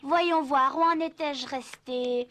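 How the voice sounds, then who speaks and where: A woman's voice: high voice, takes it slowly, fairly bright tone. A woman voicing a mischievous wooden puppet boy in a French cartoon dub, cheeky and defiant in a childlike way. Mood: excuse-making. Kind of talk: French animated series dub.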